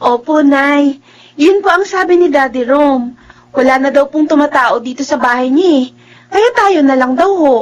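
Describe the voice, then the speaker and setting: An actress playing an earnest, trusting young student and devoted daughter in a Filipino radio drama. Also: female voice, high-pitched, expressive, moderate pace, muffled recording. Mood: eager.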